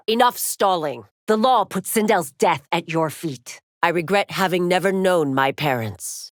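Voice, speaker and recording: A woman's voice: fairly low pitch, no room sound, clean studio sound. An actress playing a stern, dutiful warrior woman and law officer in a fighting game, speaking in formal, commanding, clipped declarations. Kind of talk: fighting game character voice lines.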